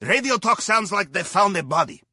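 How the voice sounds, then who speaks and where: Bright, lively Russian-accented game captain. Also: male voice, high-pitched, normal speed, breathy edge, dry recording, studio quality, full character voice. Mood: calm, confident. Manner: wry, playful, theatrical, with clipped non-native English. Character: wry, upbeat Russian submarine captain guiding a heist crew. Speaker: mission guide over comms. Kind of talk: video game voice acting.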